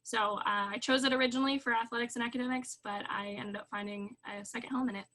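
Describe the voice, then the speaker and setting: A woman's voice: on the high side, fairly even intonation, fast talker, sharp tone, low-quality recording. A young woman from California, a college student, chatting casually with many fillers. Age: young adult. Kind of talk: casual chat.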